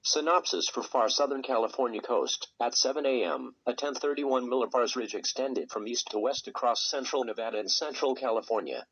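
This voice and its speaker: A synthetic text-to-speech male voice reading a scripted weather radio forecast in a measured, impersonal way. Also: medium pitch, a bit bright, breathy edge. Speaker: automated weather radio announcer. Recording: dull lo-fi weather radio recording.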